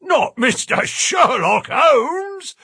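A man voicing a gruff, blunt, burly porter and former prize fighter in an audiobook story. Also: on the high side, sing-song, a little slow, dry recording, studio quality, exaggerated voice. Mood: amazed, hearty. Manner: rough working-class grammar, blunt and loud.

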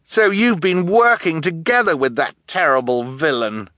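A man plays a bookish young orphan boy from a storybook. The voice is lifted in pitch, earnest and emphatic, with lively movement. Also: a little fast, no room sound, studio quality. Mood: indignant.

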